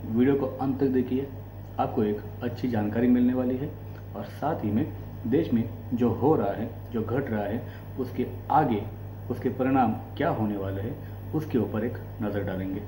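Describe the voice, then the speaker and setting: An Indian man hosts an explanatory commentary in a mellow, relaxed voice at a middle pitch. He speaks deliberately, in short broken phrases. The room is echoey, with faint noise and hum behind him. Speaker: host of a commentary channel. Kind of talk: explanatory political commentary to camera.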